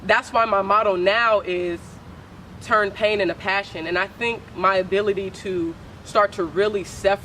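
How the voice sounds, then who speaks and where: A young woman from Oakland with a deep voice, speaking candidly as a poet guest in a casual interview, full of slang and swearing. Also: fairly even intonation, measured pace, sharp tone, slight background noise, low-quality recording. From Oakland, California.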